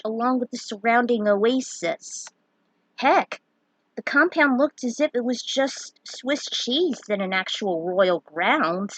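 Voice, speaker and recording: A woman's voice: fairly high pitch, a bit bright, dry recording, poor audio. A woman reading a fan fiction story aloud, dramatic in her telling and given to opinionated asides. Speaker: narrator.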